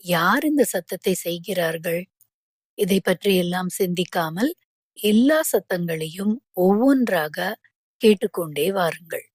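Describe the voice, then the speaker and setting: A woman guiding a yoga nidra relaxation, giving calm, measured instructions with pauses between phrases. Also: on the low side, slightly rough, no room sound. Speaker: yoga nidra meditation guide.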